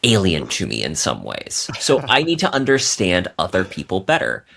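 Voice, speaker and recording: A man's voice: low-pitched, wildly swooping pitch, normal speed, gravelly, fairly bright tone, slightly rough. A man speaking as a podcast guest, explaining things in an articulate, conversational way. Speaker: podcast guest, adventure novelist. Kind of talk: conversational interview, explaining his writing.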